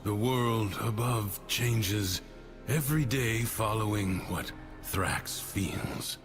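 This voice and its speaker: A solemn game mentor speaks very slowly in a lowish voice, measured and cryptic, guiding a chosen one. Loud music sits behind every word. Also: male voice. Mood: calm, explaining. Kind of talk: cinematic game dialogue.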